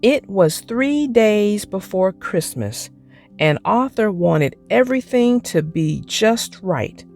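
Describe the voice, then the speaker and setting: A woman reads a children's storybook aloud with very animated intonation. Her pace is slow and clear for young listeners, and music plays behind her. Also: medium pitch. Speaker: children's book narrator. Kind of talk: scripted storybook narration for children.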